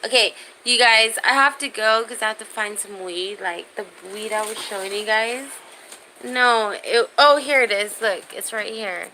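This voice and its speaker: A woman's voice: a little high, normal speed, pushed and bright, poor audio. A woman in her thirties chatting casually on a livestream, talking straight to viewers and reading their comments, with slangy, profane language. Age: 30s. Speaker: live streamer chatting with viewers. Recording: faint background noise.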